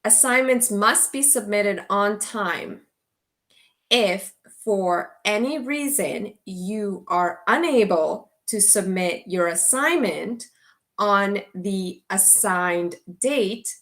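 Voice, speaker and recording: A woman teacher from Guatemala, giving a slow, clear orientation to an online English as a course. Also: medium pitch, expressive, fairly bright tone. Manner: slow, deliberate delivery for language learners.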